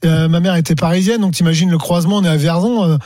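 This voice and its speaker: A man chatting on a radio talk show in a rambling, animated way, close to a studio microphone. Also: fairly high pitch, fast talker, no room sound. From France. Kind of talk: casual radio chat.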